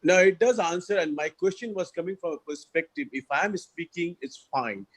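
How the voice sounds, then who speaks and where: A man's voice: on the high side, normal speed, slightly rough. A man from India joining a video call, earnestly explaining his question at length. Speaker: call-in participant.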